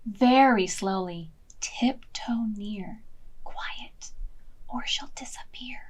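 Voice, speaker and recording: Female storytime host for preschoolers. Unhurried, expressive read-aloud, slightly high pitch. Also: whispered. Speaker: children's library storytime host.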